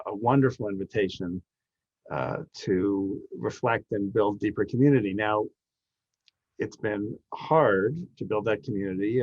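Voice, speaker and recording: A man with a lowish voice gives reflective remarks as a dean. His phrasing is halting and thoughtful, and the recording is dry and slightly muffled. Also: a little mellow, no room sound. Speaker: law school dean.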